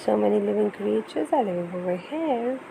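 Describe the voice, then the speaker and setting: A woman's voice: medium pitch, lively intonation, a little fast, creaky, background noise. A woman reading a picture book aloud to young children in a teacherly way, with questions and exclamations.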